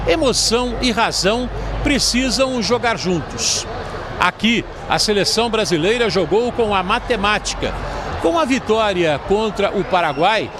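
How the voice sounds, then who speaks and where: A man's voice: sits high, noisy background. A man narrating a scripted sports news report with emphatic delivery. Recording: voice-over with loud music bed.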